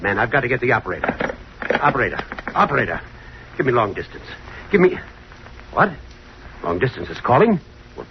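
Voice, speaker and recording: An indignant university head in vintage radio drama style. His sputtering, self-important delivery swoops up and down in a very animated way. The old recording is dull and slightly noisy. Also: mid-range voice. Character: flustered, pompous university head, doctor.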